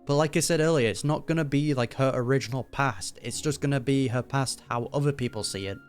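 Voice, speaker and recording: A streamer giving informal reaction commentary on a game, thinking out loud in a casual way. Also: medium pitch, fairly quick. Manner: informal, musing aloud. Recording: game music behind the voice.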